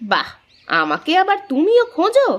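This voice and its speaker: Woman acting as high-voiced teasing village wife. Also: wildly swooping pitch, normal speed, exaggerated voice. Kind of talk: acted village story dialogue.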